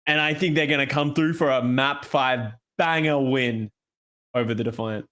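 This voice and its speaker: A man's voice: mid-range voice, fairly even intonation, moderate pace, a bit bright. A man on an esports podcast panel giving confident, opinionated predictions in casual conversation.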